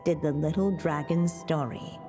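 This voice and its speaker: A loving witch mother in a storybook game scene. The delivery is very slow and solemn, in a low mellow voice that carries long reverb. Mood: gentle. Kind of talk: storybook narration in a video game. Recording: long reverb with faint music.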